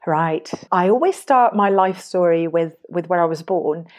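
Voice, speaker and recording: A woman's voice: on the low side, measured pace, a little mellow. Tired woman researcher from Greece. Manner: thoughtful, many fillers and restarts. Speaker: guest researcher in education. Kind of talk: conversational interview.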